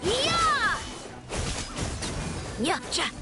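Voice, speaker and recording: A woman's voice: extremely high pitch, big pitch swings, very slow, heavily acted. A woman voicing a spirited, playful young female fighter in a video game, calling out combat lines. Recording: game audio under loud music and battle effects.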